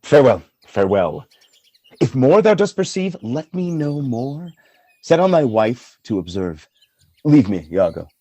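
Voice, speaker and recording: Man acting a husky, jealous noble general. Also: mid-range voice, sing-song, measured pace, dark tone, no echo. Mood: shaken. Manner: theatrical, declamatory verse delivery. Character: noble general husband sliding into anguished jealousy. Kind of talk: Shakespearean radio play acting.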